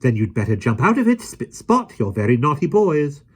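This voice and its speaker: A man reading aloud, putting on a high, prim, commanding voice for a stern nanny. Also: slow, sharp tone, heavily acted. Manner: crisp, commanding, haughty.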